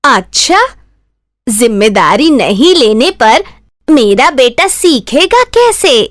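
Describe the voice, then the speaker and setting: A woman's voice: a little high, big pitch swings, slow, no echo, studio quality. A woman voicing a doting, protective village mother in a Hindi cartoon story, pleading and insistent. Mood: persuading.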